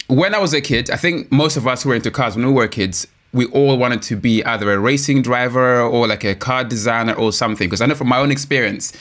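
A man's voice: mid-range voice, quick pace, hardly any pauses, a bit bright. Chatty man hosting a casual interview. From Africa. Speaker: host of a car talk show.

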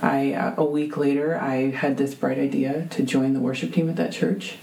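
A woman with a deep voice, telling a heartfelt faith testimony as a guest, full of ums and restarts. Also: little pitch movement, moderate pace, vocal fry, dark tone, rough recording. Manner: earnest, many fillers and restarts.

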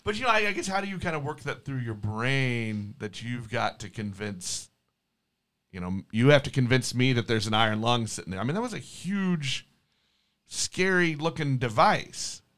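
Male co-host, average-pitched, informal and a little halting as he chats with guests. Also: lively intonation, fairly bright tone. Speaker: podcast co-host. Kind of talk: casual interviewing.

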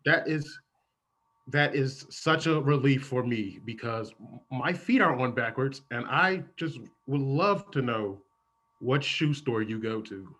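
A man's voice: medium pitch, lively intonation, a little slow, a bit bright, slightly rough. A man acting out improvised comedy scenes in a casual, conversational style.

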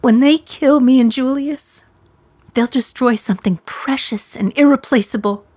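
An actress playing a condemned woman in her thirties, speaking slowly and reflectively with a wry edge. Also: sits high, darkish tone, dry recording. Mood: grieving. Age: 30s. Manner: slow reflective delivery, wry humor. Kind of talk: radio play monologue.

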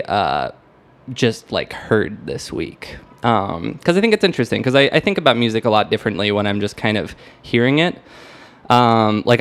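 A young American man hosting a podcast, chatting casually about music in a rambling, hesitant way that often circles back on itself. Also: on the low side. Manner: rambling, hesitant, self-interrupting. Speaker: podcast host.

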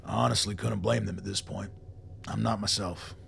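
An actor playing a weary, gruff old colonel in a radio play, with a gravelly voice and a blunt, folksy, wry way of talking. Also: male voice, lowish voice, steady tone, normal speed, fairly bright tone, heavily acted. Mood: weary, troubled. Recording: noticeable background noise.

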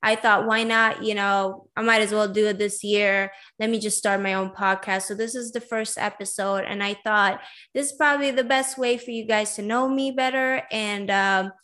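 A young woman, born in Iran and raised in Sweden, talks about her own life on her podcast. She keeps it loose and chatty, with plenty of fillers and asides. Her pitch sits right in the middle for a woman. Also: steady tone, normal speed, fairly bright tone. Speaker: podcast host. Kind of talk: casual personal storytelling.

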